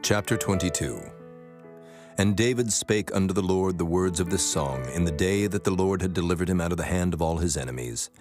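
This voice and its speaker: A man narrating a dramatized scripture reading in a solemn, measured manner. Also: sits low. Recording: prominent music behind the voice.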